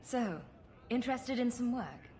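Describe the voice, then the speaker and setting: An actress playing a sarcastic young rogue heroine in a video game, dry and teasing. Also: female voice, a little high, expressive, unhurried, dark tone, slight background noise. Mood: curious, wry. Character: wry, sarcastic young woman rogue hero. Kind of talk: video game character acting.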